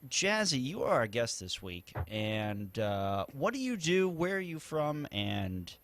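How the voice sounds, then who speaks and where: A man's voice: mid-range voice, very animated, measured pace, a bit bright. A man hosting a casual gaming podcast over a voice call, laughing often. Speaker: podcast host.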